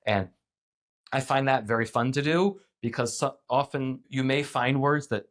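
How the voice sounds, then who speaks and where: A man's voice: medium pitch, moderate pace, fairly bright tone. A middle-aged man in a casual podcast interview, thinking aloud with many restarts and filler words. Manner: thoughtful, rambling, frequent restarts and "like". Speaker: podcast guest.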